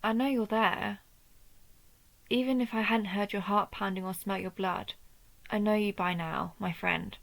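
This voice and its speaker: A woman playing an injured vampire who hunts her own kind, protective, proud and wry, in a measured, intimate audio roleplay. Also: mid-range voice, steady tone, gravelly. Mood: wry, resigned. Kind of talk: scripted audio roleplay addressed to the listener.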